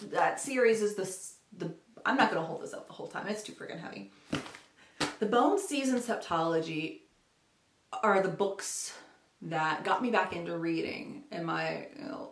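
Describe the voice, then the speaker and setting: A woman's voice: mid-range voice, fairly bright tone. Gushing young woman in an echoey room. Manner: gushing, emphatic, enthusiastic. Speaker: book vlogger. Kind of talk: casual chatty book talk to camera. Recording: roomy, echoing home recording.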